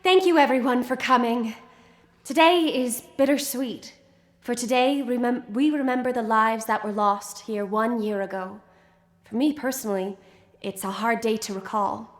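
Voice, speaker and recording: An earnest female creature in a radio drama, played by an actress, solemn and grateful. The voice is fairly high and speaks in measured, formal phrases in a very roomy space. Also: lightly acted. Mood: formal, bittersweet. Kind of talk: live radio drama acting.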